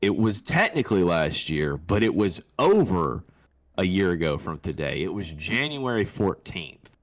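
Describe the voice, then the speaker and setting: A man's voice: low-pitched, sing-song, measured pace. A man co-hosting a podcast in casual sports chat, teasing and quizzing his partner over fight predictions. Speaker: co-host of an MMA podcast.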